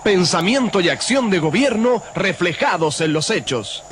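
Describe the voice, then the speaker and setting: A man's voice: sits high, expressive, normal speed, music in the background. A man delivering a declamatory, scripted political propaganda announcement.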